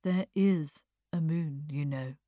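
Woman playing a plaintive, slow, sorrowful young aesthete. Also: sits low, mellow, no echo, clean studio sound. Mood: wistful. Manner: plaintive, precious, drawn-out. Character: lean, sorrowful young man, precious, anxious aesthete.

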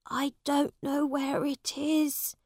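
A woman's voice: high voice, little pitch movement, takes it slowly, darkish tone, dry recording, studio quality, voice pushed up. A woman doing a frightened, pleading poor boy. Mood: flustered. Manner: pleading, crying out. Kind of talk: storybook reading.

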